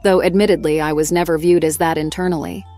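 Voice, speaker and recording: A woman voices a cheeky female war tank with a lower voice. She talks frankly and wryly in audio-drama dialogue, with music underneath. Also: fairly even intonation, fast talker, dark tone, natural voice. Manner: frank, wry.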